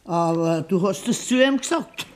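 A woman's voice: medium pitch, lively intonation, normal speed, a little mellow, natural voice. An actress playing an indignant old farm grandmother in a German radio drama, accusing and disapproving in Bavarian dialect. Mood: scornful, indignant.

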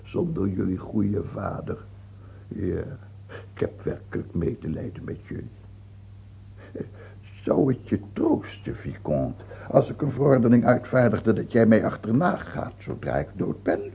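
A muffled, phone-like radio play recording of a tyrannical king. His husky, creaking voice swoops widely. He is capricious and suspicious, repeating himself as he slides between wheedling and command. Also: fairly low pitch, very animated, measured pace, exaggerated voice. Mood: sardonic. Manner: repeats himself, swings from wheedling whispers to shouting. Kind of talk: old radio drama acting.

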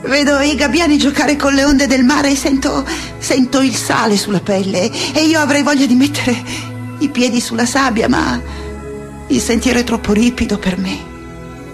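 An actress playing an anguished, defiant, aging woman scholar in a radio play, speaking in halting, impassioned repetitions. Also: sits high. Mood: weary, wistful. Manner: halting, repeating words, impassioned. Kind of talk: dramatic radio theatre monologue. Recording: music under the voice. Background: music.

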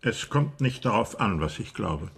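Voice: mid-range voice, very animated, a little slow, gravelly, husky, heavily acted. An actor playing a guarded, unyielding police chief in a German radio drama, speaking tersely and formally. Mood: stern, guarded. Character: guarded, curt police chief refusing a teenage girl's pleas.

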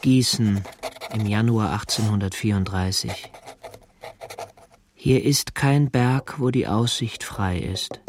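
An actor playing a young letter writer, discontented yet tender, reciting literary text in a radio play. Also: male voice, lowish voice, noisy background. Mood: gloomy, discontented.

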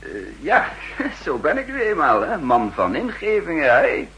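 An actor playing a breezy, teasing middle-aged intelligence boss in a 1960s radio drama, bantering with hesitations and tag questions. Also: male voice, a little high, huge pitch swings, a little slow, a bit bright, heavily acted. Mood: improvising, breezy.

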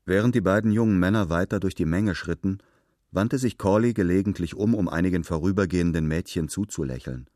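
Low-voiced man narrating literary fiction. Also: brisk, a little mellow, no echo, clean studio sound.

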